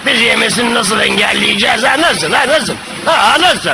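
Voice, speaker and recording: A man voicing an anxious, excitable but loyal little crab in a dubbed cartoon, frantically repeating himself. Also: extremely high pitch, normal speed, over music. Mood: panicked. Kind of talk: dubbed cartoon comedy acting.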